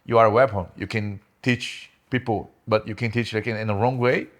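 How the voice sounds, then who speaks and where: A young man, a guest on a podcast, speaking in halting English with many repeated words and frequent laughs. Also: on the low side, fairly even intonation. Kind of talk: casual interview.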